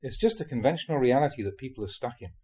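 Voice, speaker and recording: A man reading a spiritual talk aloud in a measured, explanatory way, often posing rhetorical questions. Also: mid-range voice, animated, mellow, breathy edge. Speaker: monk reading dharma talks aloud.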